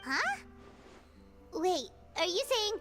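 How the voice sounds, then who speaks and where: A woman voicing a tiny, excitable, childlike sprite companion in a video game with a squeaky, cartoonish voice. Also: takes it slowly, loud music behind the voice, exaggerated voice. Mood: surprised.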